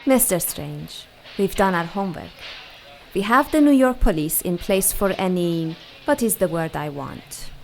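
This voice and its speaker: An actress playing a poised, worldly woman with measured, formal speech in a 1940s noir radio drama. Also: lowish voice, expressive, gravelly, a little hiss or hum. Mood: persuasive. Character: poised, worldly 1940s female emissary of a scientist.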